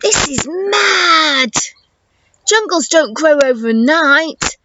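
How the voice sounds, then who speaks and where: Woman acting out an excitable high-voiced young boy. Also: extremely slow, lo-fi sound, very noisy, heavily acted. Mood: astonished. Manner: exclamatory, breathless wonder and alarm. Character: excitable young boy hero of a dinosaur adventure.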